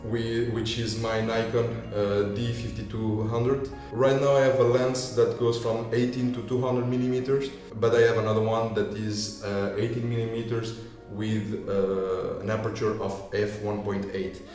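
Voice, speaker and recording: A deep-voiced man casually walking viewers through gear as a video creator, with non-native English phrasing. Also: flat delivery, normal speed, darkish tone. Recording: echoey room, far from mic, music behind. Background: music.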